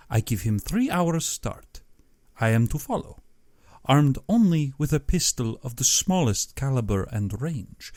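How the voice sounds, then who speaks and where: Guy doing a posh-mannered evil general for an audiobook, super courteous, pompous, high lilting pitch, takes his time. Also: a little mellow, no echo, clean studio sound. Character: genteel, menacing aristocratic general who hunts men.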